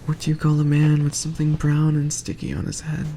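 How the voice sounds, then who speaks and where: A man reading aloud in a soft ASMR bedtime style, hushed and often slipping into a whisper. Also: a little high, completely flat delivery, fairly quick, slight background noise.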